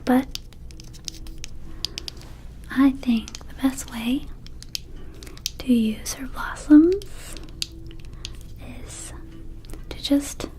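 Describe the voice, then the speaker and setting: A high, whispering woman plays a gentle female vampire who tends a magic herb shop. She soothes the listener in an ASMR roleplay, with childlike wording and a soft, mellow tone. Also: very slow, long pauses. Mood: soothing. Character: gentle female vampire dispensary keeper offering magic herbs.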